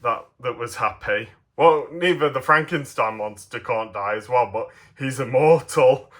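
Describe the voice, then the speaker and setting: A man presents his hobby in a casual show-and-tell. He has a mid-pitched voice and talks with enthusiasm, rambling along and halting partway through sentences. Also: a bit bright. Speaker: hobbyist presenter of custom toy figures.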